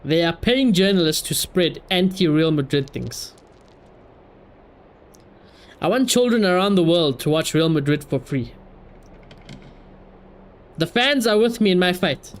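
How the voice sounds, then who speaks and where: A man giving casual livestream commentary in an animated, slangy, sweary way. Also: high voice, a little slow, pauses often. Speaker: live streamer.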